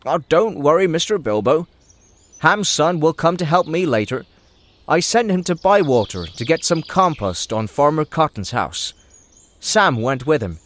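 Friendly male hobbit gardener man acting, audio drama; chatty, respectful, animated delivery. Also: fairly high pitch, measured pace. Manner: deferential, neighbourly, chatty.

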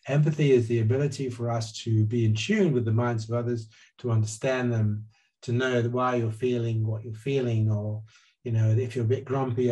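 A man's voice: mid-range voice, warm tone, poor audio. Older man explaining earnestly on a video call. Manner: earnest, gesturing with his hands, frequent restarts. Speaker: guest psychologist. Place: living room at home. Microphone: webcam on a video call.

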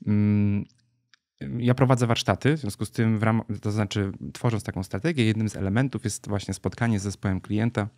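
Low-voiced man explaining things thoughtfully. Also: fairly even intonation, a little fast, warm tone, dry recording, studio quality. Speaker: podcast guest, brand strategist. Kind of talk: thoughtful interview conversation.

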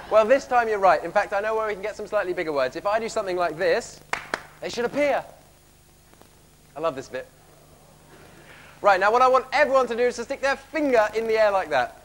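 A man's voice: high-pitched, slight background noise. A young man playing a chirpy, eager servant in pantomime, bantering with the audience from a theatre stage. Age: young adult. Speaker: pantomime comic leading audience singalong. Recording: reverberant theatre hall recording with music.

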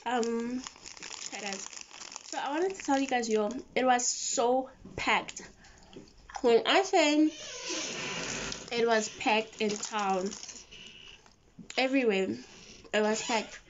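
A young South African woman talks to camera in a fairly high voice, chatty and slangy like she's catching up with friends. It's a casual vlog delivery, picked up on a phone with dull, lo-fi sound. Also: slight background noise. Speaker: vlogger. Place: mostly a bedroom at home. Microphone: phone camera at arm's length.

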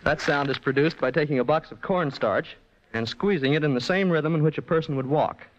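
A man speaks as a guest expert on 1940s radio, in a voice a little higher than most men's. He gives scripted explanations. The sound is dull and clearly noisy, like an old broadcast recording. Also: brisk. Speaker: sound effects department head, guest explainer.

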